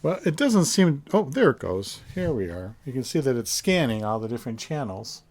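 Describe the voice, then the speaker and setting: A man's voice: mid-range voice, sing-song, moderate pace, darkish tone. A man giving a casual hands-on demonstration, musing and thinking aloud as he goes. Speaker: reseller reviewing a vintage find. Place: indoors, in a basement. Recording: faint background noise.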